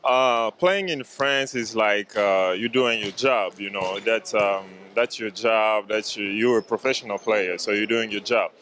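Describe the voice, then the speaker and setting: A man who plays basketball professionally, giving interview answers in a halting way with many fillers and restarts. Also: lowish voice, fairly bright tone, a little hiss or hum.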